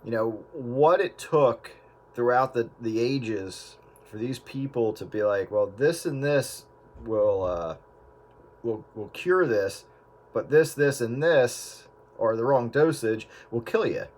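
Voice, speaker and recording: A man's voice: medium pitch, slow pace, darkish tone. A man in a casual radio chat, joking and rambling with frequent restarts. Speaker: radio hobbyist on an on-air group chat. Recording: heavy static radio transmission.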